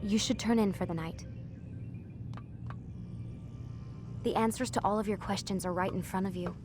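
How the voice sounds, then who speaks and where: An actress playing a guarded, earnest young woman who explains things in a hushed, confiding way. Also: medium pitch, steady tone, fast talker, music in the background, natural voice. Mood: apologetic, cryptic. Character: guarded, earnest young woman working inside a secretive company.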